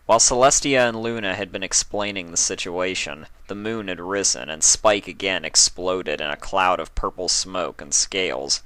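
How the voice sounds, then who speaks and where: A man reading a fan story aloud with steady narration. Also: deep voice, moderate pace, hardly any pauses, clean tone, no echo, low-quality recording. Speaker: fanfiction reader.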